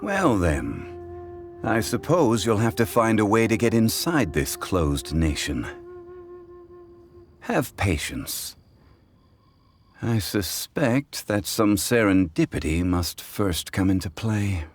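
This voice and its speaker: An ancient god living as a refined gentleman in a video game. His voice is very low and moves expressively as he explains things formally and calmly. Faint music sits behind him. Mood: measured. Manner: measured, formal, expository. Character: calm, dignified ancient god in human guise, scholarly.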